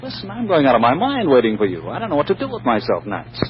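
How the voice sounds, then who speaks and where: An actor playing a brash, impatient, needling boyfriend with a breezy, sardonic, slangy manner in 1940s radio comedy style. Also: male voice, mid-range voice, huge pitch swings, quick pace. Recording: muffled vintage radio recording.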